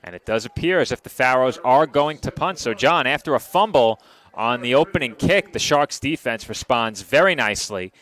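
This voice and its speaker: A man calling a live football game play-by-play, brisk and precise with a lightly wry touch. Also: medium pitch, animated, fairly bright tone. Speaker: play-by-play announcer.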